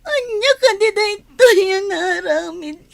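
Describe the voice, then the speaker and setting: A woman with an Ilocano accent playing a jealous, hot-tempered, heartbroken village woman in a radio drama, loud and tearful. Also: extremely high pitch, animated, measured pace, vocal fry, full character voice. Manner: shouting and tearful.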